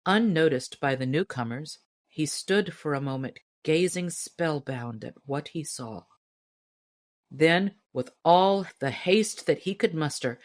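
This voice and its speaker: A woman narrating a storybook as an audiobook reader, in expressive scripted narration. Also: low-pitched, no echo, clean studio sound.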